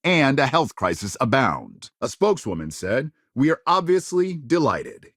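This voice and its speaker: An actor playing a serious, polished male news anchor in a sci-fi game, reading scripted reports with measured, emphatic delivery. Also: medium pitch, fairly bright tone, no echo, studio quality. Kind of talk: scripted news broadcast narration.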